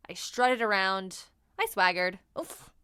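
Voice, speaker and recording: A woman's voice: medium pitch, slow, pushed and bright, smooth, no echo. A woman voicing an awkward, self-deprecating bean in a children's storybook, with a wry, hammy delivery. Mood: sheepish, wry.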